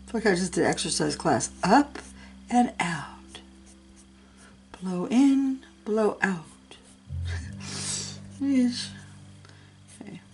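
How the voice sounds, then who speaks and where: A woman's voice: mid-range voice, expressive, very slow, pauses often, darkish tone, soft background music. A middle-aged woman, a little sick and sniffling, chatting casually and encouragingly as she talks through a coloring tutorial. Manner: chatty and encouraging, talks to herself while working.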